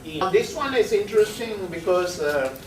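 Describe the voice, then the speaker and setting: A male chemistry professor, lecturing a class in a halting way, with many pauses and frequent checks of "right?". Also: high voice, animated, distant mic, noisy background. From Sri Lanka. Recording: echoey classroom heard from afar.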